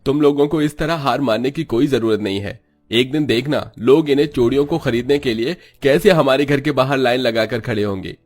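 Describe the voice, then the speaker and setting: Village husband, male narrator doubling: hopeful, encouraging, reassuring lines for an animated story. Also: medium pitch, a little fast, voice pushed up, natural voice. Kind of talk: animated Hindi storybook dialogue.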